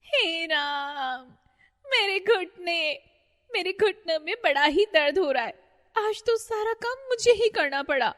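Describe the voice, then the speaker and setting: A woman's voice: normal speed, long reverb tail. A woman voicing a fretful older mother-in-law who panics easily, in a cartoon story. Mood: groaning. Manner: shrill, panicky.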